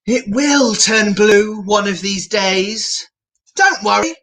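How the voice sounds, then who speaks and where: A woman voicing a gentle, earnest father in a children's storybook, speaking softly and instructively. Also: mid-range voice. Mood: reassuring. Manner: soft, slow, instructive.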